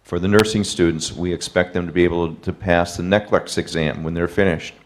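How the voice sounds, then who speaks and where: Low voiced professor guy on a zoom webinar, calm and explaining. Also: a little fast. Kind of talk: webinar answer. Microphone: video call.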